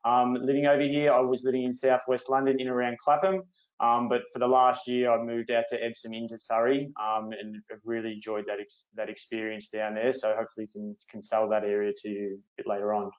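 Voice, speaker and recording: A man gives a casual introduction in a level, even voice with little rise and fall. He speaks steadily, dropping in ums. The call audio sounds dull and low-fi. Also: brisk. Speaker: recruitment consultant.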